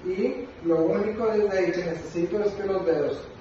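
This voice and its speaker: A man's voice: high-pitched, moderate pace, mellow, dull audio, slight background noise. A man in his thirties, a bowling coach giving an instructional demonstration at home, explaining step by step with frequent "ok?" check-ins. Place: living room at home, indoors. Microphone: camera a few meters away. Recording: echoey room, distant camera mic.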